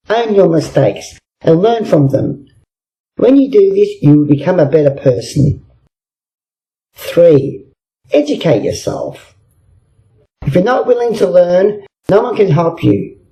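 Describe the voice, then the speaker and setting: Very dark, creaky-voiced man. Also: on the high side, low-quality recording. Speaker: reader. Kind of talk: scripted motivational reading.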